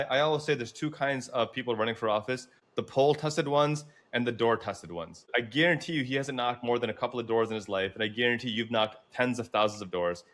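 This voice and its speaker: A man's voice: medium pitch, a bit bright. An American man hosting a political interview, speaking in an emphatic, opinionated way as he advocates. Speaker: host.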